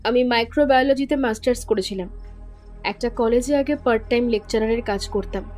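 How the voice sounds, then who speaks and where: A woman's voice: a little high, brisk, a little mellow, lightly acted. A woman playing an educated, impatient young woman who answers curtly and defensively. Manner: curt, defensive. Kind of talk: audio drama acting. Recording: faint background music.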